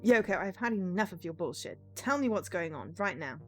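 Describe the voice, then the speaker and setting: A woman's voice: fairly low pitch, animated, a little fast, a bit bright, soft background music. A woman voicing a tough, suspicious female investigator in a cyberpunk game, speaking bluntly. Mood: fed up.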